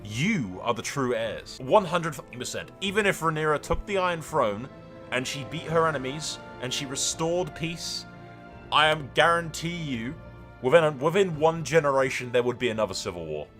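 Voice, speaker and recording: A man's voice: a little high, lively intonation, normal speed, fairly bright tone, faint music behind. A man hosting gameplay commentary with roleplay, sweary and emphatic, rambling and given to theatrical declarations. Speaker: gaming content creator and host.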